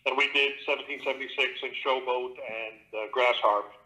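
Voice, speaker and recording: A man's voice: medium pitch, fairly even intonation, moderate pace, sharp tone, poor audio. A middle-aged man, an actor and audiobook narrator, talking casually in an interview from his home studio with a theatrical, expansive manner.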